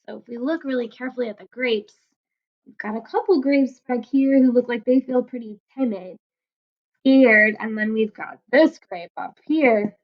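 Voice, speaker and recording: A woman teacher reading a picture book aloud to children, gently explaining words and asking them questions. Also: fairly high pitch, moderate pace, highs cut off.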